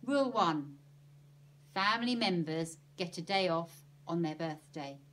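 Woman playing a bossy, forceful storybook aunt. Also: on the low side, expressive, measured pace, a little hiss or hum. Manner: firm, commanding, forceful. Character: bossy, no-nonsense aunt who lays down rules.

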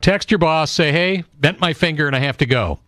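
A man's voice: a little high, brisk, fairly bright tone, no room sound. American man hosting rock radio, dry sound. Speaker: radio DJ and show host. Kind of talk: classic rock radio DJ patter. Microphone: close studio broadcast microphone.

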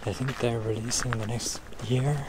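A young man speaking softly and gently in an unhurried, musing ASMR roleplay, with pauses, while walking outdoors. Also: mid-range voice, fairly even intonation. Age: young adult. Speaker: ASMR creator. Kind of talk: soft-spoken ASMR roleplay, casual monologue. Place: outdoors on night streets, walking. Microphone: handheld camera at arm's length, wind-buffeted. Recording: loud wind and outdoor noise.

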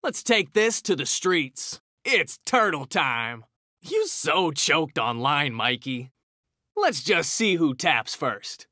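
A man's voice: fairly high pitch, sing-song, slow pace, sharp tone, no room sound, clean studio sound, heavily acted. A man voicing a cocky, hot-tempered young mutant ninja turtle, trading brash, slangy taunts in a fighting video game. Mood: cocky, defiant. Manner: brash, slangy trash talk.

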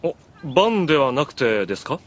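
A man's voice: mid-range voice, sing-song, brisk. A man playing a suave, polite military officer in an anime audio drama, wry and unruffled.

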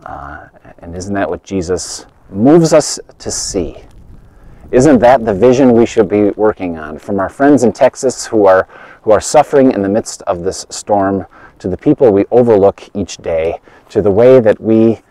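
Earnest middle-aged pastor, low mellow voice. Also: male voice, animated, no room sound, poor audio. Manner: earnest, halting, with frequent restarts and rising emphasis. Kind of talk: outdoor video sermon. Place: outdoors by a snowy lakeshore in winter.

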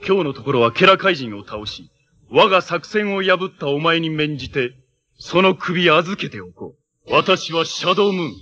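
An actor playing a menacing armored cyborg villain in a 1980s Japanese superhero TV show, making imperious, theatrical proclamations. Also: male voice, on the high side, lively intonation, normal speed, pushed and bright, highs cut off. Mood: proud, menacing.

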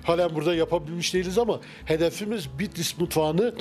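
A middle-aged man with a slightly high voice talks through a handheld mic in a wood-paneled restaurant. He answers questions haltingly, full of fillers and restarts. Soft music plays faintly underneath. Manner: hesitant, many fillers and restarts. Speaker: restaurant owner. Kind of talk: casual interview. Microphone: handheld mic held by interviewer.

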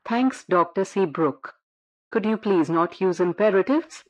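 A synthetic female text-to-speech voice playing a polite, earnest golem caller in an audio drama, measured and literal. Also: medium pitch, animated, a little mellow. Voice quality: synthetic, computerised.

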